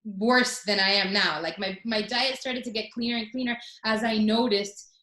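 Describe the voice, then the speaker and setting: A woman's voice: medium pitch, fairly even intonation, normal speed, sharp tone. Chatty middle-aged woman, echoey room. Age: 40s. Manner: chatty, many fillers and restarts. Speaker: guest. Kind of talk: casual interview talk.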